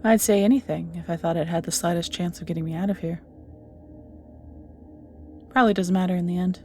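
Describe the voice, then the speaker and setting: A woman's voice: fairly low pitch, a little mellow, lightly acted. A woman playing an ancient banished evil magical being in an audio roleplay, flirty and world-weary, musing theatrically and often sinking to near-whispers, with music behind. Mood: wry, scheming. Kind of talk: scripted audio roleplay acting, addressing the listener.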